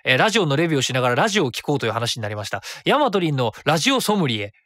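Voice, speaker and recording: A man's voice: medium pitch, sing-song, very fast, a bit bright, dry recording, clean studio sound. A man hosting a solo radio show in casual talk, chatty and rambling, thinking aloud and correcting himself as he goes. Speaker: radio host. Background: background music.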